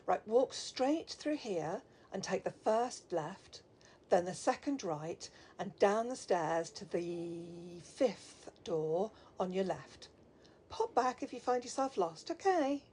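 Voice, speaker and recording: A woman's voice: medium pitch, expressive, takes it slowly, darkish tone, slight background noise. A woman acting a breezy, motherly office receptionist in an audio drama, with a cosy sing-song manner. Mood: cheery, gossipy. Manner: sing-song, cosy, calls people love.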